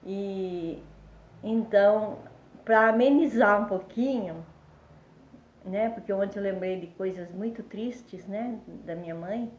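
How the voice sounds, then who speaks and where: Older woman from Brazil, slow rambling storyteller, slightly stuffy, echoey room. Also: medium pitch, a little mellow, slight background noise. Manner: rambling, with many fillers and self-corrections. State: congested, a bit under the weather. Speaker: vlogger telling family memories. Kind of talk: casual storytelling.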